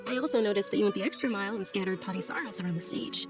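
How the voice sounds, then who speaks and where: An actress playing a polite, gentle young noblewoman with an admiring, devout temper in a video game. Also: mid-range voice, rapid-fire, over music, natural voice. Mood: sincere. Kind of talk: video game character voice acting.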